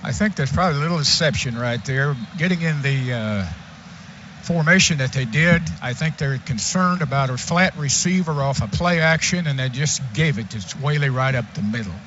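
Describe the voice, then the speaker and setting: A man's voice: mid-range voice, normal speed, a bit bright. Male football analyst on lo-fi radio. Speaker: color commentator. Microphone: broadcast booth microphone. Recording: dull lo-fi broadcast, faint background noise.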